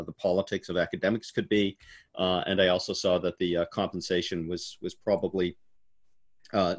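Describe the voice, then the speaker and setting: A man in his sixties giving a halting, storytelling answer in an informal virtual interview, with many fillers and the odd laugh. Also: deep voice. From United States. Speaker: keynote speaker. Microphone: video call.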